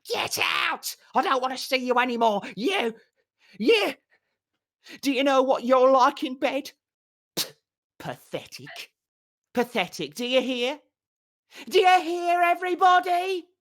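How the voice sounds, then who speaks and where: An audiobook reader voicing a furious blonde woman who screams insults from a balcony, in a high, loud, shrill voice. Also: expressive, unhurried, pauses often, full character voice.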